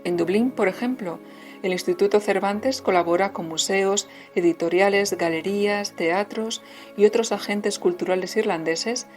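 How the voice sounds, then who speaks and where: A woman narrator delivers scripted information. Her delivery is formal and measured, at a medium pitch. Soft music plays faintly behind her.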